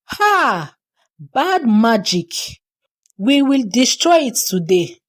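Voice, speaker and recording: A woman's voice: fairly high pitch, big pitch swings, very slow, exaggerated voice. A woman voicing a fearless, protective old grandmother in a folktale, emphatic and resolute.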